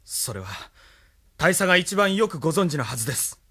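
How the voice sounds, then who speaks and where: An actor playing a proud, brooding young lieutenant and mech pilot in a Japanese audio drama, speaking in clipped, intense military style. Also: male voice, on the high side, sing-song, a little fast, sharp tone, husky, no room sound, clean studio sound, heavily acted. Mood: tense, resentful.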